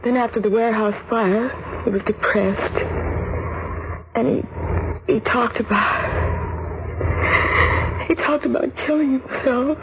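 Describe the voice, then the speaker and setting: An actress playing an anxious, grieving daughter in a 1940s radio drama, speaking in a hesitant, pleading way. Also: female voice, a little high, steady tone, measured pace, pauses often. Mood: troubled, sorrowful. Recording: muffled, noisy 1940s radio recording.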